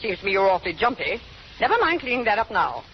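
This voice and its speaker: An officious hospital nurse, rattling along quickly with very lively pitch. The old radio drama recording is dull and bossy orders come briskly through it. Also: female voice, slight background noise. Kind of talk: 1930s-40s radio drama acting.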